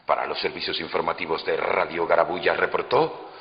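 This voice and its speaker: An actor playing an earnest small-town radio news reporter with pompous announcer delivery in a radio comedy. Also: male voice, sits low, level tone, brisk. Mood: formal, inquisitive. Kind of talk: dramatized radio comedy interview. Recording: echoey hall playback, muffled.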